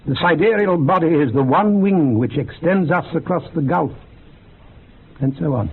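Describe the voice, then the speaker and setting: An actor playing an earnest, bookish occult researcher in a radio drama, lecturing in a measured, gravelly, husky voice. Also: male voice, on the high side. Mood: scholarly, absorbed. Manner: measured, lecturing, quotes texts with relish. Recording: muffled phone-like old radio recording.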